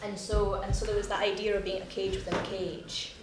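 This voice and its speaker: A woman's voice: medium pitch, little pitch movement, brisk. Scottish playwright, young woman, chatty and self-mocking, heard from afar over a noisy room. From Scotland. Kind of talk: post-show discussion. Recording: echoey room, distant mic, noisy.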